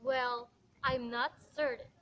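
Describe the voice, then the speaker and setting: A woman's voice: high-pitched, fairly even intonation, slow, dull audio. A woman in an amateur radio play, playing a polite telephone operator with a formal, unruffled official manner.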